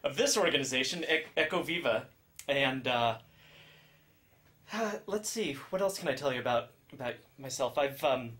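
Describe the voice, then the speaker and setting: A man's voice: mid-range voice, animated, normal speed, a bit bright, slight background noise. A man from San Francisco giving a talk to a class over a video call, hesitant, with many ums and restarts. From San Francisco, USA. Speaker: guest speaker.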